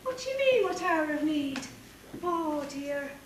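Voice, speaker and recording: A woman's voice: sits high, big pitch swings, measured pace. A woman playing a kindly, whimsical fairy godmother in a stage pantomime. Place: theatre stage. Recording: echoey hall from far off, faint noise.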